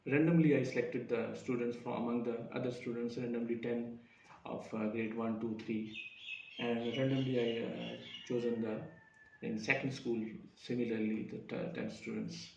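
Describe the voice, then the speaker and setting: Halting man presenting research, far off. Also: mid-range voice, flat delivery, creaky, darkish tone, a little hiss or hum. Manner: halting, many fillers and self-corrections. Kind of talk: academic presentation. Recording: roomy, distant, dull lo-fi sound.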